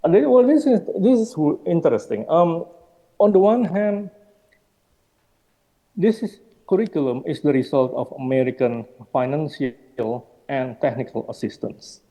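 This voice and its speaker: A man's voice: a little high, animated, a little slow, warm tone. A man giving an academic slide presentation at a roundtable, thinking aloud and hedging as he goes. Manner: hesitant, thinking aloud, hedging with "perhaps" and "I don't know". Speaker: panel speaker, architecture researcher. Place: gallery hall at a roundtable. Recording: long hall reverb.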